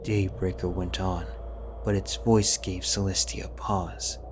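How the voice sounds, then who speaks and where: A man narrating a fanfic audiobook in a measured, grave storytelling manner. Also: deep voice, flat delivery. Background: background music.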